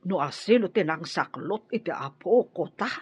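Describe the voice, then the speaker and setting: A woman's voice: on the low side, animated, moderate pace, husky. A woman playing an older village grandmother, hesitant and doting, in a radio drama with an Ilocano accent. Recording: dull, muffled lo-fi audio.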